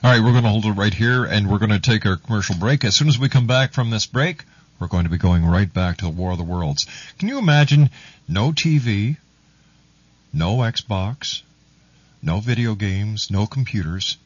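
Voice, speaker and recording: A man's voice: on the low side, lively intonation, moderate pace, breathy edge, no echo, dull audio. A man hosting a radio show in a casual presenting style.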